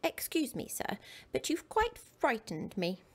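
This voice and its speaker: A woman's voice: high voice, wildly swooping pitch, unhurried, breathy edge, heavily acted. A woman voicing a formal, overly polite little male creature who lives in a toy chest, in a bedtime storybook. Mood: prim. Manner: overly polite, keeps saying sir.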